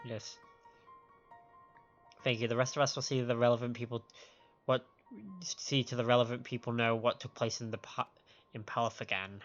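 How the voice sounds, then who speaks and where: A man's voice: medium pitch, level tone, moderate pace, long pauses. A man livestreaming a game, reading dialogue aloud with casual commentary and often stumbling over and repeating phrases. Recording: dull lo-fi stream audio, game music. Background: game music.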